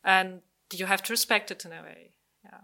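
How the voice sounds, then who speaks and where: Bright-voiced woman. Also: medium pitch, normal speed, creaky. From Russia. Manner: animated, full of "like, you know" fillers. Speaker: discussion participant. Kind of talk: casual discussion.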